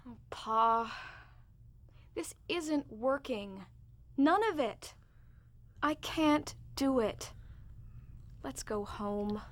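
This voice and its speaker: A woman's voice: on the high side, drawn-out words, pauses often. An actress playing a frank, headstrong American heiress in her twenties in a radio drama. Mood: troubled. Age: 20s. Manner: blunt, unladylike.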